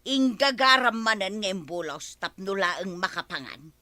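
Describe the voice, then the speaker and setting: A woman's voice: mid-range voice, normal speed, dry recording, close to an everyday voice. A woman playing a nagging, exasperated villager in a radio comedy drama, with an Ilocano accent and a scolding manner. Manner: scolding, animated. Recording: music under the voice. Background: music.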